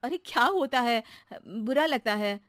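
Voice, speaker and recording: A woman's voice: fairly high pitch, animated, measured pace, a bit bright, no room sound, studio quality. A woman voicing a level-headed housewife who teases her maid with mock-serious logic, in a cartoon story. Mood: calm. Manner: sly, mock-serious teasing.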